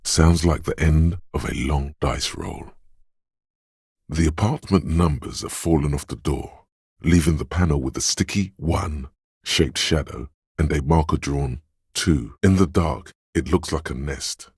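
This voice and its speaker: An actor voicing a watchful inner perception voice in a game, speaking slowly and deliberately in a hushed tone. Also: male voice, no echo, clean studio sound, heavily acted. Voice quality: deep husky voice. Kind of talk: game voice-over lines.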